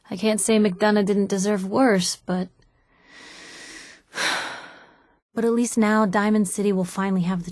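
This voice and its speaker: A woman's voice: medium pitch, a little mellow, dry recording, studio quality, close to an everyday voice. An actress playing a sardonic, plucky young woman reporter in a video game, with wry, conversational quips. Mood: grim. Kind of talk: video game companion voice acting.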